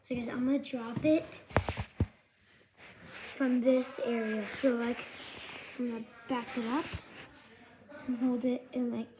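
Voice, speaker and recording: A child with a high voice talking casually and ramblingly to viewers as a vlogger. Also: little pitch movement, measured pace, long pauses, background noise.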